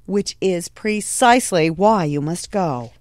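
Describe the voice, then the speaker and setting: A woman playing a strict, snobbish mother, prim and commanding, with a shrill edge, in a period audio drama. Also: animated, unhurried, darkish tone, dry recording. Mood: stern, dismissive. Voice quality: shrill when scolding. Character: strict, snobbish society mother, scolding and domineering.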